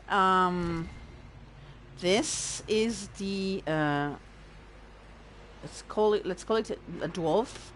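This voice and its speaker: A woman's voice: lowish voice, a little hiss or hum. A woman from Italy with an Italian accent, a native Italian speaker, chatting and thinking aloud in a self-deprecating way while commentating a video game playthrough. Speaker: let's-play host. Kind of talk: casual gameplay commentary.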